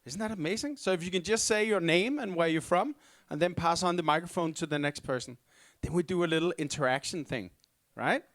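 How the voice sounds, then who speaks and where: A man moderating a panel session in a relaxed, informal, chatty way. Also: fairly high pitch, brisk, few pauses.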